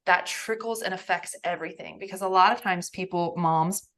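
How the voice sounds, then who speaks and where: Female podcast host, lower register, animated and emphatic delivery. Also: moderate pace. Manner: emphatic and animated, laughs often. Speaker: podcast host, nervous system coach. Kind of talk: casual podcast conversation.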